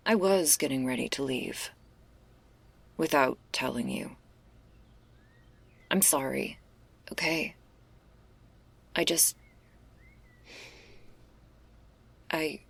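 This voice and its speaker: Woman acting a slow, very deep-voiced stoner werewolf girl. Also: full character voice. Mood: guilty, uneasy. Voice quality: low, husky, gravelly.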